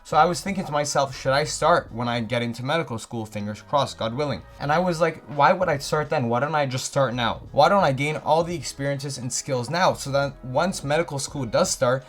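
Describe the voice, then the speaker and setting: A man's voice: medium pitch, fairly quick, few pauses, faint music behind. A man in his twenties from Canada, chatting eagerly to camera in a casual vlog as he reads out viewer questions and answers each one at length. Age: 20s.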